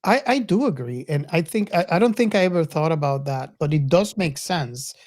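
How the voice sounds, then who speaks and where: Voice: mid-range voice, animated, moderate pace, darkish tone. A middle-aged podcast co-host of unclear sex, chatting casually about films and giving opinions in a conversational way. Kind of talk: casual film discussion.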